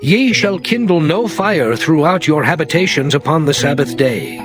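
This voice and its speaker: An actor playing Moses, a stern aged prophet, declaiming commandments in a solemn, measured way over music. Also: male voice, on the high side, lively intonation, lightly acted. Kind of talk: dramatized scripture reading.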